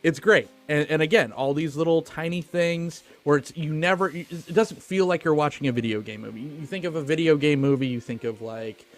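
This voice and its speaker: A man's voice: a little high, fairly quick, few pauses, soft background music. A man co-hosting a podcast, talking casually about films in a chatty, enthusiastic, sweary way. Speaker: podcast co-host. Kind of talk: casual film discussion.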